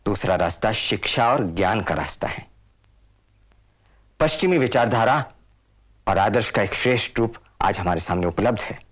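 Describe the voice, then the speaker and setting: An actor playing a passionate, indignant social reformer in a period drama, declaiming like an orator. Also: male voice, on the low side, expressive, normal speed, pauses often, close to an everyday voice. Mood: earnest oratory. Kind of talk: Hindi period drama acting.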